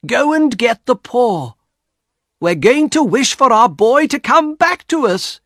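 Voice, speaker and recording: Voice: mid-range voice, big pitch swings, slow, a bit bright, no echo, studio quality, pitched above the performer's own voice, exaggerated voice. A narrator voicing a grieving old mother in an audiobook, pleading urgently and insistently. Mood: frantic hope. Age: older. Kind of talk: audiobook character acting.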